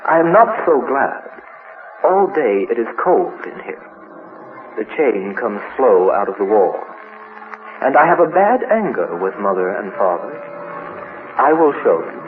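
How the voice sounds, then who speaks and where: A man's voice: medium pitch, sing-song, measured pace. A man voicing a chained, hurt monster child in a horror monologue, telling its story in simple, broken childlike sentences. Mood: bitter, vengeful. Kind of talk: first-person dramatic horror monologue. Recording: noisy, tinny narrow-band radio-like sound.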